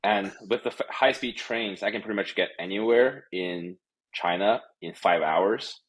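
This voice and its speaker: A man with a very low, husky voice talks as a podcast guest in a relaxed, conversational way. He often pauses with fillers and restarts his phrases while working out what to say. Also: poor audio. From Canada (Calgary). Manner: hesitant, many fillers and restarts. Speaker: guest, company executive. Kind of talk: casual interview conversation. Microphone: remote recording.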